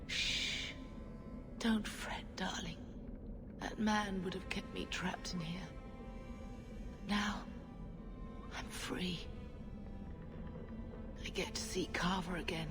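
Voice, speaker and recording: An actress playing a dying middle-aged mother in a video game, tender and proud, breathy and frail. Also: on the low side, fairly even intonation, slow pace, long pauses, music in the background. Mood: tender, fading. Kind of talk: dramatic video game acting.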